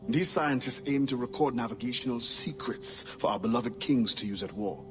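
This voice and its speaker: An actor playing a proud former pirate quartermaster turned freedom fighter, speaking in a firm, earnest, measured way. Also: male voice, mid-range voice, music in the background, lightly acted. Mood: eager. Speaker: game character. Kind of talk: video game cutscene acting. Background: game score.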